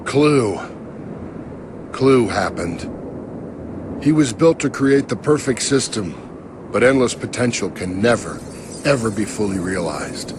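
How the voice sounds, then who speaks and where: Game role: regretful middle-aged father figure, lowish pitch, solemn and hesitant, noisy audio. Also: animated. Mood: bitter regret. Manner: grave, halting, reflective. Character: weary, regretful father, older creator of a digital world. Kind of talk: dramatic game cutscene acting.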